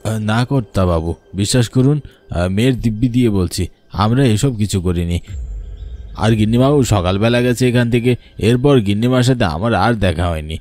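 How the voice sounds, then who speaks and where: An actor voicing humble, loyal village farmhands in a horror cartoon, deferential and pleading in manner. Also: male voice, on the low side, moderate pace, a little mellow, close to an everyday voice. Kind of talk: dramatised horror cartoon dialogue. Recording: music bed under the voice.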